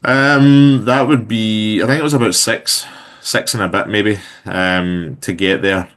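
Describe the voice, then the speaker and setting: Deep-voiced Glaswegian man in his thirties. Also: measured pace. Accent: Glaswegian Scottish. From Scotland. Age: 30s. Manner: relaxed, rambling with many fillers. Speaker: guest entrepreneur. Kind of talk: casual interview chat.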